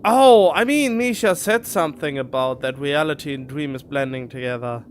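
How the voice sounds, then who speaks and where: A man doing casual live commentary on a game, reading dialogue aloud and puzzling over it as he thinks out loud. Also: on the high side, normal speed, a little hiss or hum. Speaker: game streamer.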